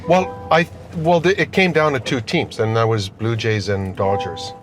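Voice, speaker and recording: A man's voice: medium pitch, steady tone, moderate pace, over music. A middle-aged man, a company executive, answering questions in casual conversation during an interview inside a car. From born in Austria, immigrant to Canada. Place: inside a car being driven. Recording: noisy moving vehicle interior.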